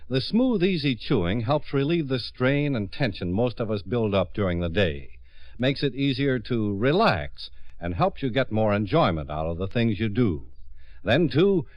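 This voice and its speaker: A man reading scripted copy as a 1950s radio announcer, with a smooth salesman's delivery. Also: mid-range voice, no room sound. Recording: dull old radio recording.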